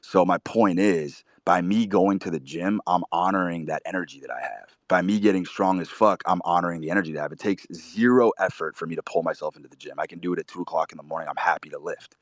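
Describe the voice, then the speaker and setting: A man hosting a talk show, giving blunt, profane motivational advice in a preachy, forceful way. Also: low-pitched, fast, hardly any pauses, no echo, studio quality. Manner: forceful, swears constantly, preachy. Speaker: show host.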